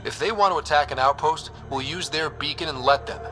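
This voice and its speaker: A man playing a confident, decisive young space squad leader in a video game, with a brisk commanding delivery. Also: fairly high pitch, pushed and bright, slight background noise. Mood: determined. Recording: music behind the voice.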